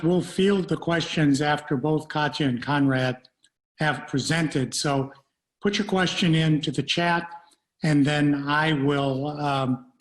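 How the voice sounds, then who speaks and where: A man moderates a panel on a video call and gives the audience instructions in a measured way. He speaks slowly, and his pitch sits a little high. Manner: measured, organizing.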